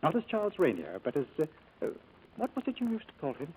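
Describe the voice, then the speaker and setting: An actor playing a grave doctor and confidant in a 1940s radio drama, husky-voiced, solemn and emphatic. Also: male voice, a little high, huge pitch swings, fast, darkish tone, a little hiss or hum, low-quality recording. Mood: grave, cautioning.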